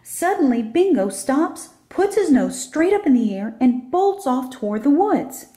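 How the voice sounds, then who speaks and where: A woman's voice: sits high, big pitch swings, a little slow, dark tone. A woman reading a children's story aloud as scripted narration. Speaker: storybook reader.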